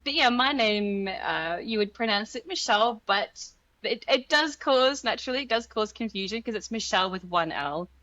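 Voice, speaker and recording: A woman's voice: a little high, expressive, moderate pace, pushed and bright, no echo, dull audio. A woman from Australia with an Australian accent, chatting informally as an interview guest and telling personal stories. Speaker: interview guest, language guide founder. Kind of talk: casual personal storytelling in an interview.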